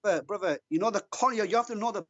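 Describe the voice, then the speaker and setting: A man defends his view in a heated religious debate. His voice is high and husky. He talks hesitantly and often stumbles over repeated words. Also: fast, fairly bright tone, muffled recording.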